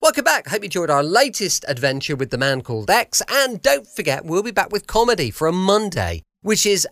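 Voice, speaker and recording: A man's voice: high voice, big pitch swings, fairly quick, a bit bright, no room sound. A man hosting a podcast in a casual, enthusiastic way. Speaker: podcast host. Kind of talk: casual show outro.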